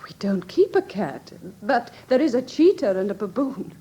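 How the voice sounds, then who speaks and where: Anxious English gentlewoman, fairly high mellow voice, very expressive intonation, polite formal manner, vintage radio drama. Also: normal speed. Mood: earnest, uneasy. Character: well-bred, anxious, trusting young lady client. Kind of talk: classic British radio drama acting.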